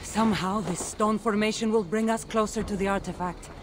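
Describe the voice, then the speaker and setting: A woman's voice: medium pitch, steady tone, fairly quick, background noise, lightly acted. An actress playing a wry, seasoned woman adventurer in a video game, teasing and playful but earnest when giving warnings. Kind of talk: video game cutscene acting.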